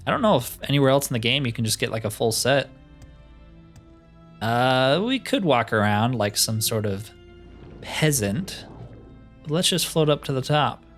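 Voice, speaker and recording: A man giving casual, easygoing game commentary in a chatty, slangy way. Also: medium pitch. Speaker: gaming host playing a roleplay playthrough.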